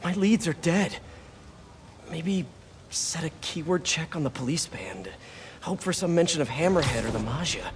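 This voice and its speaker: A man's voice: fairly high pitch, expressive, moderate pace, a little hiss or hum, natural voice. A man voicing an earnest, wisecracking young American masked hero in a video game, muttering quips to himself in a naturalistic way. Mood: troubled, regretful. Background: music.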